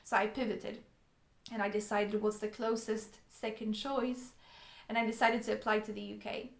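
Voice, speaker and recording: A woman's voice: mid-range voice, little pitch movement, moderate pace, roomy sound. A young woman from Poland, Polish her first language, telling her life story to the camera in an earnest way with frequent ums and restarts. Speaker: vlogger.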